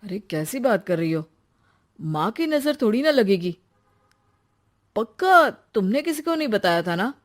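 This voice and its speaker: A woman's voice: medium pitch, sing-song, unhurried, pauses often. Woman playing a hot-tempered young husband. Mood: irritated. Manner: loud, exasperated outbursts. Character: short-tempered young husband, quick to shout.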